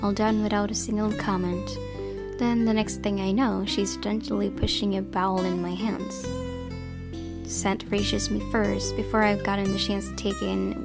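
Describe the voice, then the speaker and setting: A woman reading a visual novel aloud as its player, in expressive storytelling narration. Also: mid-range voice, moderate pace, creaky, loud music behind the voice.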